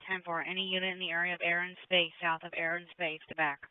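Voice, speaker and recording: A male police dispatcher giving brisk, procedural acknowledgements over the radio. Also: sits high, level tone. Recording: tinny police radio with static hiss.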